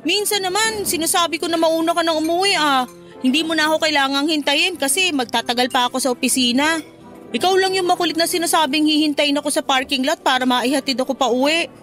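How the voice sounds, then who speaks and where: Isang babaeng aktres na gumaganap bilang palabiro at mapagpasalamat na dalagang matalik na kaibigan sa dramang panradyo. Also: female voice, high voice, quick pace. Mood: teasing, light. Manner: teasing, expressive. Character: playful, grateful young career woman, best friend. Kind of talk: Tagalog radio drama dialogue, conversational. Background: music.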